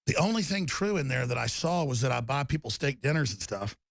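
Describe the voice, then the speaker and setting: A man hosting a talk radio show, raspy and torn-up in tone, rambling emphatically with loud bursts and low mutters. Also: big pitch swings, a little fast, no room sound. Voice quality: raspy, gravelly voice. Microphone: studio microphone.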